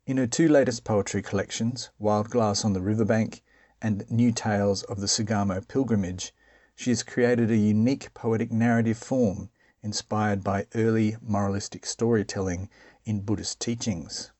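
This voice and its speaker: A man narrating a scripted introduction in a measured, formal way. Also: lowish voice, steady tone, darkish tone, no room sound. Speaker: program narrator.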